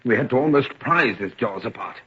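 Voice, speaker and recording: Grave gentleman father, radio melodrama, rough husky voice, muffled old recording. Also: mid-range voice, animated, a little fast. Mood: grave, haunted. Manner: solemn, melodramatic. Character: troubled, grave gentleman father of a wicked son. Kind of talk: old-time radio drama acting.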